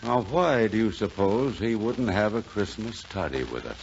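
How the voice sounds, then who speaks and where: An actor playing a generous, well-to-do gentleman in a 1940s radio drama, with an expansive, genteel manner. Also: fairly low pitch, lively intonation, moderate pace. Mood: reflective. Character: hearty, lonely well-to-do gentleman, generous and reflective. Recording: muffled, noisy 1940s radio recording.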